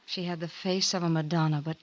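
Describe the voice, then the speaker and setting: A woman's voice: fairly low pitch, steady tone, quick pace, muffled recording, natural voice. An actress playing a shaken, naive young working woman in a 1940s radio drama, earnest and fragile. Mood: subdued, shaken.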